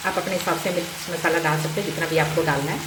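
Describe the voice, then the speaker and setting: A woman's voice: deep voice, steady tone, quick pace. A woman presenting a recipe step by step in an instructional, explanatory way. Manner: instructional, explanatory, repeats steps. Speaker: home cook presenting a recipe. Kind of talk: step-by-step cooking tutorial. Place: indoor home kitchen. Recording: roomy kitchen echo, loud cooking noise. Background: sizzling, stirring and clicks of cooking.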